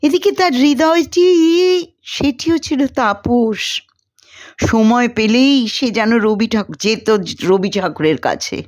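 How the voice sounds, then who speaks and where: A woman narrates a story in a dramatic, literary style. Her voice sits fairly high and swings widely in pitch, while she takes her time over each phrase. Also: expressive, slow pace, darkish tone.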